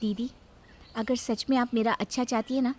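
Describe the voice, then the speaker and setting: A woman voicing a resentful, insolent young daughter-in-law in a story, scornful, sharp and accusing. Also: on the high side, steady tone, normal speed, natural voice. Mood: curt.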